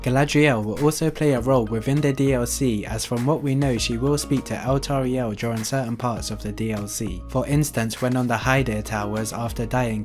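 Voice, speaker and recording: A man's voice: mid-range voice, darkish tone. Man narrating gaming news over music. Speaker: gaming video host. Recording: music under the voice. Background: background music.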